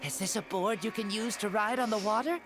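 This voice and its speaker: A woman's voice: medium pitch, a little fast, natural voice. A woman voicing a playful, curious ancient hero in a youthful body for a video game, with a teasing, amused manner. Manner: teasing, amused, wonder-struck. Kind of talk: video game character acting. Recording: game music behind the voice.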